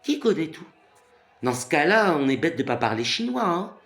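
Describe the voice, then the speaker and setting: Gravelly, animated man from France. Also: fairly high pitch, moderate pace, faint music behind. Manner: rambling, animated, repeats phrases with many fillers. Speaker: vlogger. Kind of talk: casual monologue rant.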